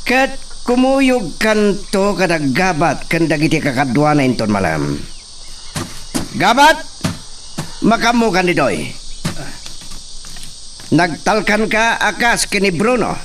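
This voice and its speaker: An actor playing a proud, confident young son of a rich landowner, recorded from a distance over faint insect noise. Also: male voice, high-pitched, sing-song, slow, pauses often, fairly bright tone, heavily acted. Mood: persuasive.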